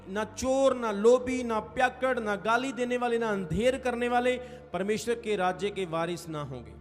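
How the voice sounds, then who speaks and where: High-voiced man preaching in a reverberant hall. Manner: emphatic preaching, stretches key phrases, prompts the congregation to respond. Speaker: pastor. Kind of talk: sermon. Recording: reverberant hall with faint music. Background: faint music.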